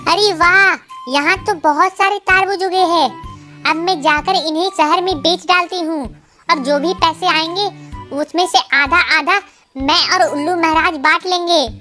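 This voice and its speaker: A little female cartoon sparrow with a bright, extremely high, squeaky voice. She is excitable and dramatic, exclaiming like a child, with music playing behind her. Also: moderate pace. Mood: delighted. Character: female cartoon sparrow shopkeeper, hardworking, excitable, easily aggrieved.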